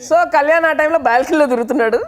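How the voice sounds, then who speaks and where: హెచ్చు స్వరంతో గబగబా మాట్లాడే మహిళ. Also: female voice, high voice, very fast. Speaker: film director. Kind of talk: casual interview chat.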